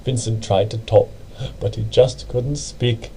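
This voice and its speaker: A man reading a poem as dramatic narration, with a theatrical, deliberate and brooding delivery. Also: mid-range voice, steady tone, dark tone, a little hiss or hum, poor audio. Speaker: amateur reader.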